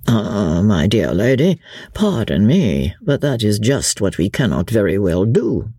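A narrator voicing a shrewd, courtly French gentleman who speaks quietly with sly gallantry and dry irony in an audiobook. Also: mid-range voice, very animated, unhurried, no echo, exaggerated voice. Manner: quiet, smoothly gallant, dryly ironic.

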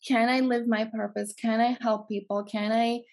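A woman's voice: fairly high pitch, little pitch movement, normal speed, a little mellow. Earnest Greek-accented woman telling her story. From Greece. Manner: earnest, reflective, repeats phrases for emphasis. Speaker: podcast guest. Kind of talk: personal storytelling in an interview.

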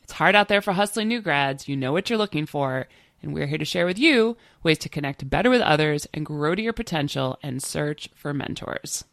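A woman's voice: low voice, animated, fairly quick, gravelly, a bit bright, no room sound, studio quality. A middle-aged woman co-hosting a podcast, talking through a head cold that leaves her nasal, chatty, with loose fillers and open questions. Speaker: podcast co-host. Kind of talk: conversational podcast chat.

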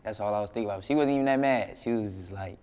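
A young man from Flint, Michigan, a rapper telling stories in a casual interview, laid-back and slangy, often trailing off quietly. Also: lowish voice, rapid-fire, smooth, big-room sound. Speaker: rapper guest. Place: indoor interview room.

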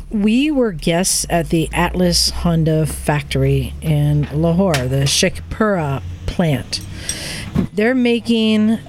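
A woman recounts her travels in a casual group chat, sounding tired and jet-lagged. Her mid-pitched voice sits in a slightly noisy garage. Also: darkish tone. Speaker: podcast regular recounting a trip. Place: garage with other people talking. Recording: noisy room around a group microphone.

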